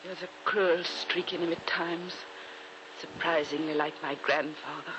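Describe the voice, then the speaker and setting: Through clear background noise on an old radio recording comes a frail noblewoman near death. She is proud and secretive, with a weary, formal, dramatic delivery. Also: deep voice, fairly even intonation, measured pace. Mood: weak, imploring. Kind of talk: 1940s radio acting.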